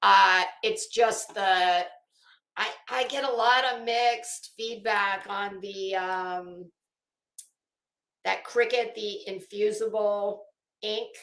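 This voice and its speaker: A woman's voice: mid-range voice, steady tone, slow, a bit bright, reverberant, rough recording. A woman hosting a casual livestream, chatting to viewers in a rambling, opinionated, emphatic way. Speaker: livestream host.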